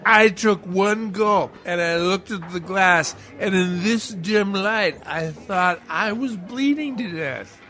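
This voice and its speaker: Slow, wry, boozy old-radio sidekick played by a man. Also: high voice, sharp tone, faint music behind, heavily acted. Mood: rambling, wry. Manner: wry, rambling, theatrical. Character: boozy, verbose, philosophizing drunken sidekick, a grown man. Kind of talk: old-time radio detective drama acting.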